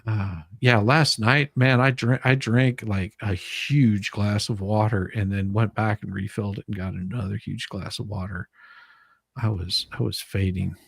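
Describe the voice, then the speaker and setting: A man with a noticeably gravelly, creaky voice reads a transcript aloud as a co-host. His delivery is informal and halting, with casual banter, and the sound is very dry, with no room on it. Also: fairly low pitch, steady tone, no room sound.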